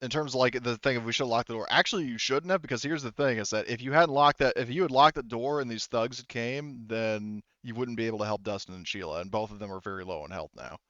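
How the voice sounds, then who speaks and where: A man acting as game master in casual tabletop chat, explaining things in an animated, informal way. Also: mid-range voice, fast talker, hardly any pauses, no room sound, lo-fi sound.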